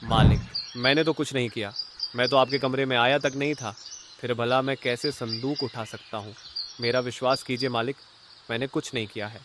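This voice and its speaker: A man's voice: medium pitch, steady tone, fairly quick, lightly acted. A man voicing an anxious, deferential household cook in a cartoon, pleading earnestly, over a noisy recording. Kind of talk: Hindi cartoon story voice acting.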